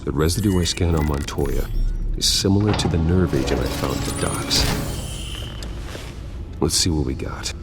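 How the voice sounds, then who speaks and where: A grim, determined vigilante for a cinematic game. His voice is extremely low and his delivery is terse and guarded, with music underneath. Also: normal speed, long pauses. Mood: grim, focused. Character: brooding wealthy adult male vigilante, grim and determined. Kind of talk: cinematic video game acting.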